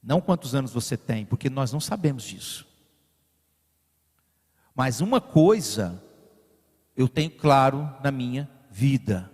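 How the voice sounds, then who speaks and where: A man from Brazil preaching a sermon as pastor, building to emphatic peaks and then falling to quiet asides. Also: mid-range voice, lively intonation, measured pace, long pauses, breathy edge, big-room sound. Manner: directs the slide operator mid-sermon. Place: church sanctuary.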